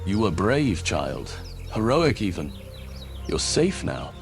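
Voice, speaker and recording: A protective paladin hero from a fantasy game. The voice is low and solemn yet varied in pitch, reassuring and measured, with music underneath. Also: male voice, sing-song, vocal fry, full character voice. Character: steady, protective heroic paladin adventurer. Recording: music behind the voice.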